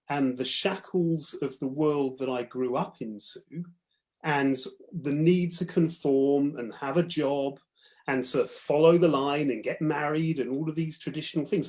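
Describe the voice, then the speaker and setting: A man in his fifties from London speaking personally on a panel, earnest and halting, often restarting his sentences. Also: on the high side, darkish tone. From born in London. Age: 50s.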